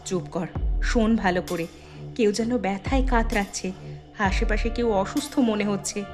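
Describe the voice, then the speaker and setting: A woman's voice: mid-range voice, fairly even intonation, moderate pace, music in the background, close to an everyday voice. Woman acting as protective elder village woman, decisive, reassuring, folk-tale storytelling. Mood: calm, determined. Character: resolute protective eldest daughter-in-law, a village woman. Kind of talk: Bengali folk tale dramatization.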